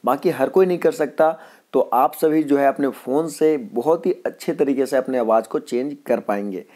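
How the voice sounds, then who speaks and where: A man's voice: medium pitch, moderate pace, mellow. A man with an Indian accent hosting a casual voice-over app tutorial. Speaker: host of a tech tutorial channel.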